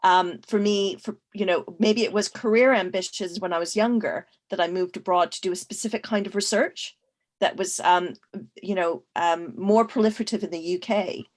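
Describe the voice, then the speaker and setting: A woman's voice: mid-range voice, normal speed. A woman from Canada speaking as a panelist in a casual discussion, thoughtful, with frequent fillers and restarts.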